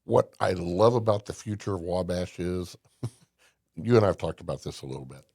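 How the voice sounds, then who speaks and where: A man's voice: deep voice, normal speed, creaky, darkish tone, no room sound, studio quality. An older man from Indiana, a podcast guest telling folksy stories with emphasis and frequent restarts. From Indiana, USA. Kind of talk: casual conversation.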